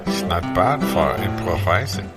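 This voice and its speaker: A man's voice: sits low, animated, moderate pace, loud music behind the voice. A man playing a grumbling, money-minded European border guard in an improvised comedy sketch, terse and muttering.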